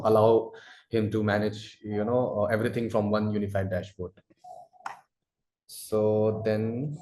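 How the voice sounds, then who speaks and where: A man presenting a product demo in a webinar, speaking haltingly with frequent "you know" fillers. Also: on the low side, warm tone. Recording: roomy, echoing sound.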